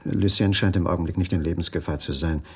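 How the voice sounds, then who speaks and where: An actor playing a troubled, brooding husband in a radio crime drama, grave and subdued. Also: male voice, low voice, steady tone, quick pace, darkish tone, breathy edge. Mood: worried, grave. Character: troubled, brooding husband puzzling over wife's suspicious accident. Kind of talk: 1980s German radio crime drama dialogue.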